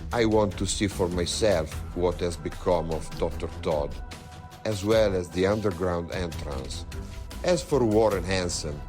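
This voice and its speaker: An actor playing a ruthless, short-tempered villain boss in an audio drama, giving curt commands. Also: male voice, deep voice, unhurried, darkish tone. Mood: cold commanding. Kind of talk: scripted audio drama acting. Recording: music under the voice.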